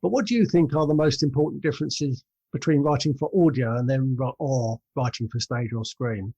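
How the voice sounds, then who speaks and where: A man's voice: medium pitch, fairly quick, warm tone, husky. A man hosting a conversational podcast interview, putting questions to his guest.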